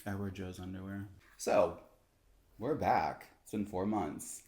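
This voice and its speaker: A soft-spoken man co-hosting a casual podcast. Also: low voice, takes it slowly. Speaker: podcast co-host. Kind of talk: casual chat. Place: home room, live-streaming.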